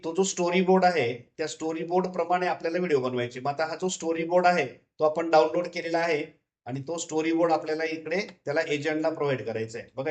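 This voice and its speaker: A man giving an unscripted, step-by-step software tutorial in a repetitive, instructional way. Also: on the high side. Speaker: tutorial presenter.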